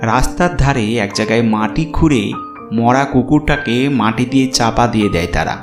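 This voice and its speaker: A man's voice: mid-range voice, moderate pace. Echoey male horror-story narrator. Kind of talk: scripted horror cartoon narration. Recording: roomy echo, clear music behind. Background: background music.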